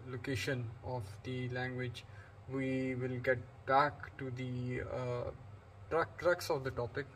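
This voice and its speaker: A man from Pakistan talks through an English lesson in a flat, level voice that barely rises or falls. He rambles with frequent fillers and restarts. Faint background noise sits under the recording. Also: mid-range voice, low-quality recording. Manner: flat delivery. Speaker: English teacher. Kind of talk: unscripted lecture.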